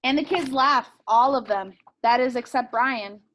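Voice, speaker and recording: Woman, high voice, teacher, expressive picture-book read-aloud, questions to children. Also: measured pace, a little hiss or hum, rough recording. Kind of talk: read-aloud storytelling with questions to young listeners.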